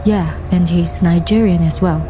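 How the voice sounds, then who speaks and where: A woman's voice: fairly low pitch, fairly even intonation, moderate pace, music in the background, close to an everyday voice. An actress playing a composed female operative, speaking matter-of-factly and observantly in an audio drama. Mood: reflective. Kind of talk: audio drama dialogue and narration. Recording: noisy street and car ambience.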